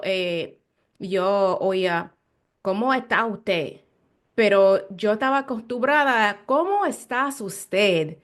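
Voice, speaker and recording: Slow-paced American woman interviewer. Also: a little high. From Chicago, United States. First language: English. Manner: halting second-language speech, searching for words. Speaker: interviewer, host. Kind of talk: casual conversational interview in learned Spanish.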